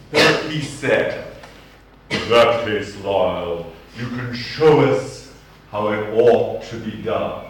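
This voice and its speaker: Middle-aged boston guy preaching, slow and wry, very echoey hall, mic far off. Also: mid-range voice, a little hiss or hum. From Boston, USA. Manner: wry anecdotal storytelling, slow deliberate delivery. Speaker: archdeacon. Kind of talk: humorous sermon. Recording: roomy church sound from a distant mic.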